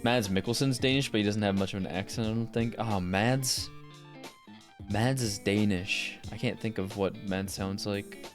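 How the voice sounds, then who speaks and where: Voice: low-pitched, moderate pace, over music. A livestreamer and voice actor chatting casually and thinking aloud as they go.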